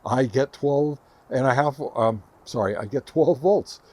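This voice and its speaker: A man's voice: mid-range voice, a little slow, a little mellow. A man casually showing and explaining gear as host of a hobby review video, chatty and enthusiastic. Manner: chatty, enthusiastic about gadgets. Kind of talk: casual hands-on product demo and explanation. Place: home radio shack.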